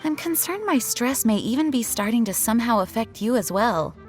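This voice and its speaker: An actress playing a dutiful, earnest young woman leader in a video game, speaking politely and formally in a measured way. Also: on the high side, lively intonation, soft background music, lightly acted. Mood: earnest, concerned.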